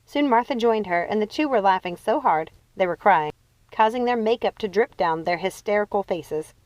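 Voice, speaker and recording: A woman reading a storybook aloud as expressive scripted narration. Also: mid-range voice, moderate pace, dry recording, poor audio. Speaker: audiobook reader.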